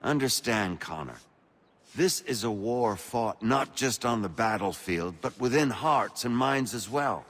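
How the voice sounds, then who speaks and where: An actor playing a shrewd, persuasive colonial revolutionary leader in a video game, speaking formally and rhetorically with assurance. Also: male voice, medium pitch, animated, measured pace. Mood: pointed, persuasive. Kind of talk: dramatic game dialogue. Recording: long reverberant hall echo.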